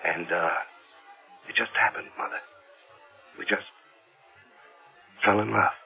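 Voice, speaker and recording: An actor playing a giddy, lovestruck American businessman and devoted son in a 1970s radio drama, halting and earnest, with an American accent. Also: fairly low pitch, gravelly, breathy edge, lo-fi sound, exaggerated voice. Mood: sheepish, earnest. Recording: band-limited old radio broadcast with music.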